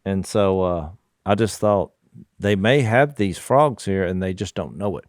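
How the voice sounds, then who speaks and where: A man's voice: low voice, unhurried, no echo, clean studio sound. A man co-hosting a podcast, joking and playful in casual talk. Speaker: podcast co-host. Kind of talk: casual chat.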